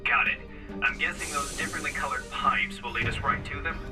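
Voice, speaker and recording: An actor playing a glib, self-assured tech superhero in a video game, dry and sarcastic. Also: male voice, medium pitch, brisk, over music, natural voice.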